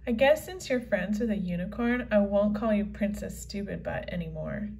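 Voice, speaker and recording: A woman's voice: medium pitch, moderate pace, darkish tone, slight background noise, voice pushed down, close to an everyday voice. A woman voicing a bossy, snooty girl classmate, snide and dismissive, in a children's comic read-aloud.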